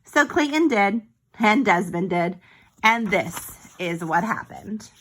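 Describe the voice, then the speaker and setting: A woman's voice: mid-range voice, animated, slow, poor audio. A woman reading a picture book aloud to young children like a teacher, expressively and with small explanatory asides.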